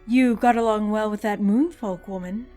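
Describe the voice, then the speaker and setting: A woman's voice: medium pitch, moderate pace, warm tone, faint music behind, close to an everyday voice. A woman playing a manipulative, seductive and coolly calculating schemer in an audio drama. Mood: probing.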